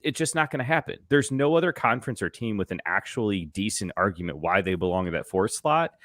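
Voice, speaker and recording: Man, lowish pitch, swooping delivery, dry sound, casual sports panelist, sarcastic, sweary, hyperbolic. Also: animated, fast talker, fairly bright tone, no echo. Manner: sarcastic, profane, exaggerating. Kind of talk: casual sports banter, ranting.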